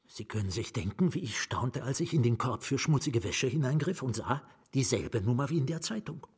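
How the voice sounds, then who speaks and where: An actor in a German radio drama playing a haunted, nameless writer who confides in a halting, dramatic way, sometimes hushed to a whisper. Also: male voice, mid-range voice, expressive, husky. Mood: wistful, confiding. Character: haunted nameless male writer confiding his lost love.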